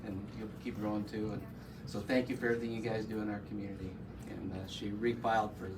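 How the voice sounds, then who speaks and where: A man's voice: on the low side, flat delivery, quick pace, noisy background. A man with a Midwestern accent speaking as mayor at a ribbon cutting, joking and offhand. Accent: Midwestern American. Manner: joking, offhand, friendly ribbing. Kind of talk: short ceremonial remarks. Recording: distant mic, loud crowded room.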